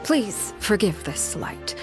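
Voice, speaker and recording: An actress playing a composed, commanding, enigmatic woman in a video game, speaking formally with measured, slyly menacing politeness. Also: medium pitch, very animated, over music. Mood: polite, subtly menacing.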